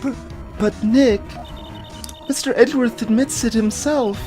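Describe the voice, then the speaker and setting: A woman's voice: a little high, unhurried, mellow, faint music behind, natural voice. A woman playing an earnest, inquisitive young female assistant in a video game, with a naive, questioning manner. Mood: worried, puzzled.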